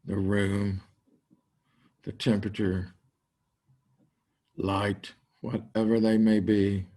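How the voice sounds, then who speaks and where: An older man leading a guided meditation, speaking in slow, spacious phrases with long pauses. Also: fairly low pitch, little pitch movement, mellow. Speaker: meditation teacher.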